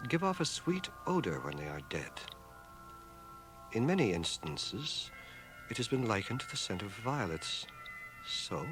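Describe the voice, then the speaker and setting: Older scholar, radio drama lead and narrator: lowish voice, measured, dry, learned, old cassette sound. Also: male voice, poor audio. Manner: measured, precise, sardonic. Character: dry, erudite, stoical older male scholar, narrating his life. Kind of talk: radio drama acting and first-person narration. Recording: 1980 radio drama, home cassette transfer.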